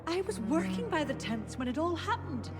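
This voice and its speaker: A woman's voice: very high-pitched, sing-song, moderate pace, loud music behind the voice. A woman playing a guarded, hesitant hospital nurse in a video game, plain-spoken. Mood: uneasy, guarded. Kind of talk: video game dramatic acting.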